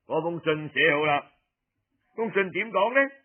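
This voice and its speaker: A man with a Cantonese accent telling a story in an expressive, colloquial way, addressing his listeners directly. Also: a little high, moderate pace, phone-quality sound. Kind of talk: dramatized traditional oral storytelling narration.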